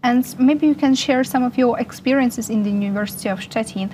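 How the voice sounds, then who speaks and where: A woman's voice: on the high side, brisk, a little mellow, a little hiss or hum, low-quality recording. A woman hosting an interview program, asking careful, friendly questions in non-native English. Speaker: interview host.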